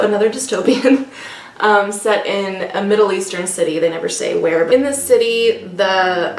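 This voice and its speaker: A woman co-hosting a book vlog in casual, unscripted chat, talking hesitantly as if thinking aloud. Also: mid-range voice. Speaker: co-host of a book discussion vlog. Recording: echoey room, distant mic, faint noise.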